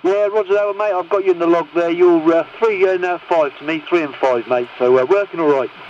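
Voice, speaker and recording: A man's voice: high voice, quick pace. A man chatting casually as he runs a check-in over CB radio. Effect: CB radio transmission. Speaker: CB radio operator running a check-in list. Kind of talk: casual radio net chat. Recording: noisy, muffled CB radio signal.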